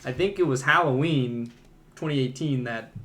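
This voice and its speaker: A man talking casually as a podcast host in a relaxed back-and-forth movie discussion. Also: mid-range voice, moderate pace.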